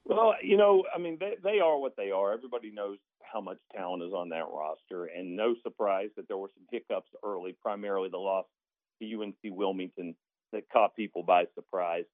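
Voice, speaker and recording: A man's voice: fairly low pitch, fairly quick, dry recording. A man, a sports announcer appearing as a guest, talking through basketball analysis in a talkative, conversational way with folksy, emphatic asides. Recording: tinny phone-like line.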